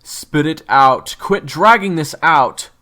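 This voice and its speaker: A man's voice: on the high side, expressive, slow pace, pushed and bright, exaggerated voice. A man playing a brash, crude young monster-hunting swordsman, slangy and sarcastic, in an audio drama. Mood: impatient, sarcastic. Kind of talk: audio roleplay acting.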